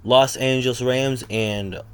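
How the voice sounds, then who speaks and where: A man's voice: medium pitch, steady tone, slow, a bit bright, a little hiss or hum. A man casually reacting to videos with rambling, joking asides.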